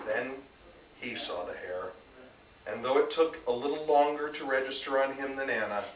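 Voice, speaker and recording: A man gives a live literary reading with emphatic, dramatic delivery. His bright, forceful voice reaches a distant mic in an echoey room. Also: medium pitch, moderate pace, a little hiss or hum. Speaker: author reading own work. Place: bookstore reading event before an audience.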